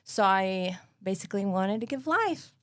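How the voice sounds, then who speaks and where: A woman's voice: medium pitch, expressive, no room sound. A woman from Lebanon, a family doctor and interview guest, talking earnestly with frequent ums. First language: Arabic. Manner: earnest, talks fast with many ums. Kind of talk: casual interview talk.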